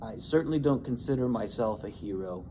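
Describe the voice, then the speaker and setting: A man in his 50s who grew up in Indiana speaks in an even, earnest, measured way, at a middle pitch for a man. A faint background hiss sits under his voice. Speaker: veterans group representative. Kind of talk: introduction and personal reflection.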